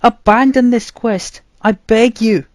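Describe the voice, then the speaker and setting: A man's voice: very high voice, fairly even intonation, slow pace, no echo, voice pushed up. A man voicing an anguished young farm lad, emphatic and imploring, in a storybook reading. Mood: pleading.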